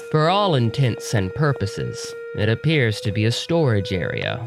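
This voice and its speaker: A man narrating an audio drama in a scripted storytelling style. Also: medium pitch. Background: music.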